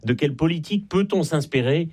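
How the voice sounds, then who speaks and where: A man's voice: on the high side, animated, brisk, darkish tone. A man with a Belgian French accent explaining things in an articulate, didactic way as an expert guest in a radio interview. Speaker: guest expert and author on energy transition.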